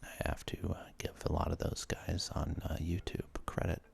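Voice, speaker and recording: A man giving whispered ASMR commentary as a gaming video host, halting and hesitant, with casual asides as he plays. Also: sits low, completely flat delivery, creaky, a little mellow.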